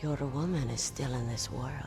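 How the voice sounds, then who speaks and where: A woman's voice: extremely low pitch, normal speed. An actress playing a cold, proud female sniper in a video game, with an aloof, sultry, contemptuous manner. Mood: cool, aloof. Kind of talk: dramatic video game voice acting. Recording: long hall-like reverb. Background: music.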